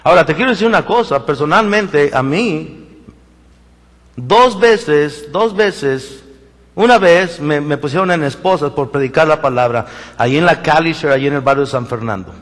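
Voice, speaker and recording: Male pastor, Mexican Spanish accent, fairly high voice, animated storytelling sermon, long church reverb. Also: normal speed. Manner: animated storytelling, acts out quoted voices, many restarts. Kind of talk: sermon with personal stories. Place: church sanctuary.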